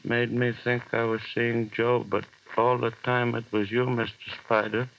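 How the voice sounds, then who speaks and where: A man's voice: medium pitch, a little slow, creaky. An actor playing a lone man hallucinating as a giant spider closes in, in a breathless first-person monologue from an old radio horror drama. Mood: eerily calm. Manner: breathless first-person monologue, panic turning eerily calm. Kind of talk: 1930s radio horror acting. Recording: dull vintage radio recording.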